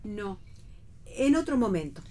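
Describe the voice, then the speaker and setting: A woman's voice: medium pitch, sing-song, unhurried, darkish tone. An actress playing a proper, homely older mother, mildly reproachful and resigned, in a read radio play. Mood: matter-of-fact. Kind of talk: staged reading of a radio play.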